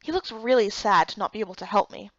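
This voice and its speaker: A woman's voice: mid-range voice, quick pace, fairly bright tone, no echo, muffled recording. A woman narrating a voiced game story and speaking as its heroine.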